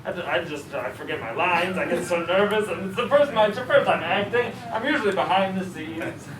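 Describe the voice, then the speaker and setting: Guy doing a flustered young actor kid, halting speech, echoey live room with a crowd. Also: sits high, animated. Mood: nervous, flustered. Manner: halting, stammering. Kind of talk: musical theatre staged reading. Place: restaurant staged reading before an audience. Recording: distant roomy live recording, audience noise.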